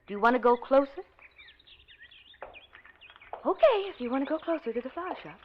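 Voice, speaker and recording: A woman's voice: high voice, very animated, fairly quick, very noisy. A woman acting a cheery hospital nurse who coaxes and fusses over her patient in an old radio drama. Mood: coaxing, concerned. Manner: sing-song, coaxing, talks down fondly. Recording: muffled old radio recording.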